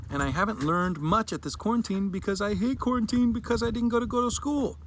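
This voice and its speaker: A man performs a young girl who is thoroughly fed up with quarantine. The delivery is childlike, earnest and emphatic, repeating itself in a dramatic reading of a sad little story. There is clear noise behind the voice. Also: sits high, a little fast, pitched above the performer's own voice, lightly acted. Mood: frustrated, pleading. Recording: clearly noisy background.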